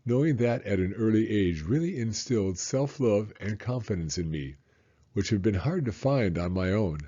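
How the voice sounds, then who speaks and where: A man narrates for children in a read-aloud style. His voice is dark and a little raspy, with animated intonation, on a slightly dull recording. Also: lowish voice, normal speed. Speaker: children's story reader.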